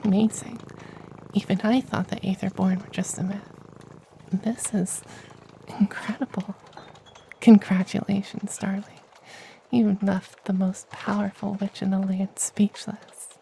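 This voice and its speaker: A performer voicing a tender, powerful femboy witch in a soft, partly whispered ASMR fantasy roleplay. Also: medium pitch, little pitch movement, gravelly, slight background noise, rough recording. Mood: awed. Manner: hushed, partly whispered, slow and soothing.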